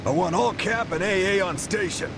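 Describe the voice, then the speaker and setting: An actor playing a resolute, commanding naval fleet commander giving orders in a war video game. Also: male voice, high voice, moderate pace, very noisy. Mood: urgent, commanding. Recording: loud music and engines behind.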